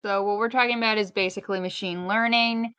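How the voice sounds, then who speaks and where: A woman's voice: medium pitch, steady tone, fast talker, sharp tone, smooth, dry recording. A woman co-hosting a podcast, explaining things in an informal, conversational way. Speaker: podcast co-host. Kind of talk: casual conversational podcast chat.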